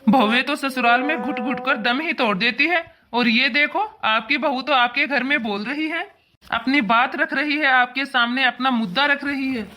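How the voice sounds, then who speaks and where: Woman playing a high, bright, indignant cartoon father. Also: normal speed. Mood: sarcastic praise. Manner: emphatic, lecturing, repetitive scolding. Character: indignant, protective father of a married daughter. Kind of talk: cartoon family drama dialogue.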